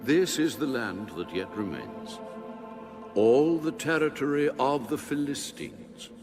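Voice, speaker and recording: An actor playing God in a dramatic audio Bible, speaking in a solemn, stately, commanding manner. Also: male voice, mid-range voice, big pitch swings, slow, vocal fry, full character voice. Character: God, male, solemn, commanding, ancient authority. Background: music.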